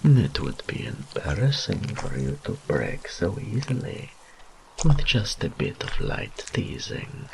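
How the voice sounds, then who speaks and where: A man playing a seductive, teasing, dominant demon incubus in an intimate audio roleplay, breathy and often whispering, drawing out his taunts. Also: fairly low pitch, very animated, background noise, full character voice. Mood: teasing, menacing. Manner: slow, drawn-out, mock-sympathetic purring taunts.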